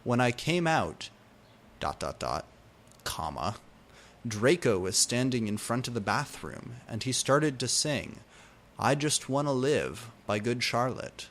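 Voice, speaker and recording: A man giving a comedic dramatic reading of fan fiction, deadpan and theatrical with drawn-out emphasis. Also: mid-range voice, slight background noise. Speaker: fanfiction reader.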